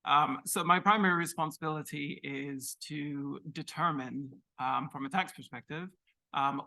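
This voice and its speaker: A man introducing himself on a finance panel, speaking deliberately with frequent pauses. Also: on the high side, gravelly, sharp tone, no room sound, low-quality recording. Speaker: panelist, fund structuring lead. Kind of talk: conference panel talk.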